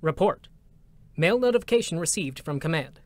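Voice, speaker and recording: A man voicing an impassive robotic support drone in a dramatic reading, giving clipped, formal, deadpan announcements. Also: a little high, normal speed, slight background noise, lightly acted.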